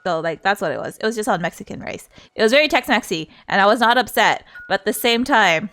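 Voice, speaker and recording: Woman streamer just chatting, kinda giggly and playful, rambles along, medium pitch. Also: lively intonation, fast talker, fairly bright tone, faint music behind. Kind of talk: casual livestream chat.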